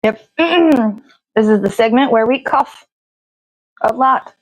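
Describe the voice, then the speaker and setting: High-voiced woman, casual dry-sounding livestream host. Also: takes it slowly, no room sound, low-quality recording. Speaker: puppet show host.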